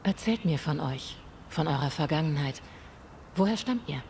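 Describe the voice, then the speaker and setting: Low-voiced, composed ghost hunter. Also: female voice, expressive, a little mellow. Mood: calm, probing. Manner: formal, measured, direct. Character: composed, stern female ghost hunter turned ghost. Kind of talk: video game dialogue acting. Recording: faint ambient noise.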